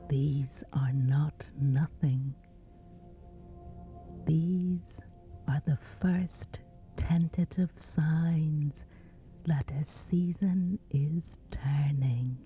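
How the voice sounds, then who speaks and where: A woman guiding a scripted sleep meditation in a breathy, whispery, hushed and soothing voice, leaving long pauses. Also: deep voice. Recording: slightly dull, soft music behind. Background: music.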